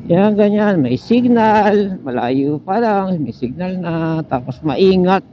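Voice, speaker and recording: Filipino man, slightly high-pitched, casual motovlog commentary, thinking aloud, helmet intercom, faint vehicle noise. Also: expressive, slow pace, lo-fi sound. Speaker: motorcycle vlogger. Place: outdoors on a motorcycle in traffic. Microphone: helmet intercom microphone. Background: faint vehicle and road noise.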